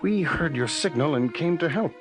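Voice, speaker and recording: An actor playing an earnest, wary moon base commander, terse and direct, in an audio drama. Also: male voice, on the high side, lively intonation, normal speed, lightly acted. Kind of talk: 1970s audio drama acting. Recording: music behind the voice.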